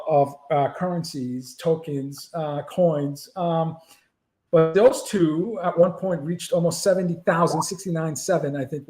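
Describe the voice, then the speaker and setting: A man co-hosts an interview, his voice a bit higher than usual. He asks long, rambling questions with many fillers. The room sounds echoey. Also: a little mellow. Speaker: podcast co-host.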